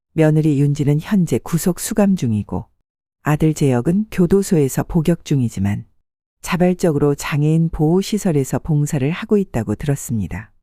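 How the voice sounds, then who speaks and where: A woman in her sixties narrating an audiobook story in the first person, calm and steady. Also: low voice, no echo, studio quality.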